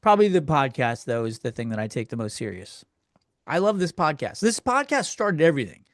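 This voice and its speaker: Guy doing a solo comedy podcast rant, sweary and rambly, voice a bit on the high side, dry close sound. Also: sing-song, a little fast, no room sound. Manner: crude, profane, self-deprecating; rambling, conversational. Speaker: podcast host. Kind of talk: casual comedic solo rant, answering listener questions.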